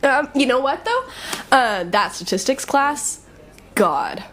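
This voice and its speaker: A woman's voice: high-pitched, very animated, slow pace, a bit bright, heavily acted. A young woman playing a brash, sarcastic college-age thief in an audio drama, speaking in breezy, slangy banter. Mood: breezy, joking. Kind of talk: audio drama dialogue, casual and conversational.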